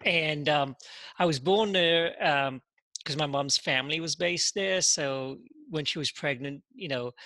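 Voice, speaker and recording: A man, a podcast guest telling his story in casual interview talk, halting and full of "you know" and repeated words. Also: fairly high pitch, sharp tone, dry recording. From England.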